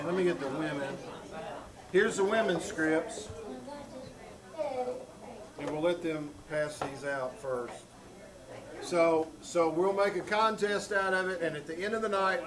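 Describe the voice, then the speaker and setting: A man's voice: a little high, unhurried, a crowd in the background, close to an everyday voice. A man acting an exasperated referee of a squabble in a live comedic stage skit at a small theater. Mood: organizing. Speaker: troupe member running a live stage show. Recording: live theater with loud audience.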